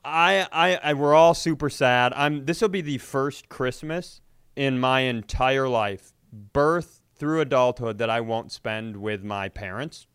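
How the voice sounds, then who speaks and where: A man's voice: mid-range voice, unhurried, fairly bright tone, clear, resonant tone, no echo, studio quality. A man in casual comedic chat, telling stories in a profane, exasperated way. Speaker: comedy podcast host.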